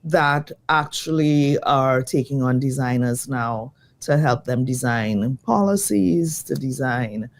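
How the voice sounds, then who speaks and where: A woman design educator, slightly croaky from jet lag, answering interview questions in a lively, thoughtful way. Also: rumbling low, slow pace, hardly any pauses. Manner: lively, thoughtful, many fillers.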